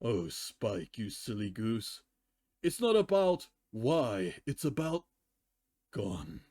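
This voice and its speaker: Man acting out a young female storybook pony, cheerfully callous, nonchalant, unhurried, soft-edged mellow tone. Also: mid-range voice, big pitch swings, no echo, clean studio sound. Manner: nonchalant, matter-of-fact.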